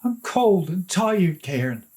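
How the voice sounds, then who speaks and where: A timid little brother in a storybook, acted out by a man. The voice is pitched well up from an adult's, youthful and easily frightened. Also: sing-song, takes it slowly, darkish tone, exaggerated voice. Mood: weary, pleading.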